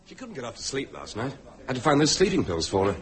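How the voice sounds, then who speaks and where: An actor playing a protective, patronizing British husband in a radio drama, coaxing yet quick to anger. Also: male voice, mid-range voice, huge pitch swings. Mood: soothing, firm. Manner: coaxing endearments, brisk commands, emphatic.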